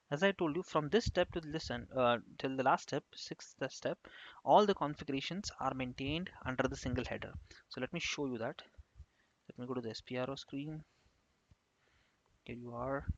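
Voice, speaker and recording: A man giving an unscripted software tutorial, explaining step by step in halting phrases as an instructor. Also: medium pitch, dry recording. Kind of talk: screen-share tutorial narration, unscripted. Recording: dull, lo-fi close microphone.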